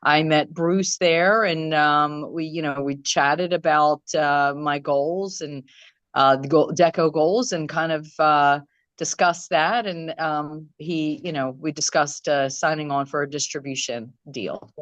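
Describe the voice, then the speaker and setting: Low-voiced woman musician, rambling thoughtfully. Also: fairly even intonation, unhurried, fairly bright tone, no echo, lo-fi sound. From Croatia. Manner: thoughtful, rambling with many ums and restarts. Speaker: musician guest. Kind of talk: casual interview chat. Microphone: video call.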